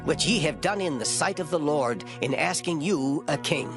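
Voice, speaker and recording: An actor playing an old, gray-headed prophet, solemn, stern and declamatory, in a dramatized scripture reading. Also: male voice, a little high, expressive, normal speed, music in the background. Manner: declamatory, grave.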